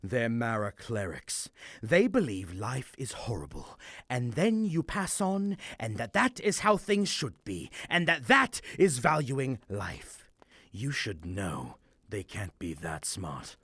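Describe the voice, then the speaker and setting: An actor playing a scornful, impatient cult mage in a fantasy audio drama, with a condescending manner. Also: male voice, mid-range voice, very animated, slow, a bit bright, breathy edge, no echo, clean studio sound, full character voice.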